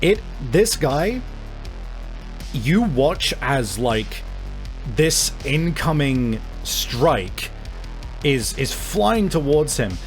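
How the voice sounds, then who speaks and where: A man running a tabletop roleplaying game as game master, narrating in a casual, excitable, sweary way. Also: a little high, extremely slow, long pauses, low-quality recording. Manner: casual, profane, excitable storytelling. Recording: music playing behind the voice. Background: background music.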